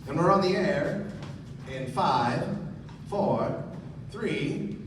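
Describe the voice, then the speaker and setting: Echoey, high-pitched old-time radio announcer played by a man. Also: expressive, drawn-out words, noisy background. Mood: showy, welcoming. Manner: theatrical, formal, projected delivery. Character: genial 1940s radio announcer, welcoming showman host. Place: stage of a small theatre. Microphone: far camera. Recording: echoey theatre from far off, music behind.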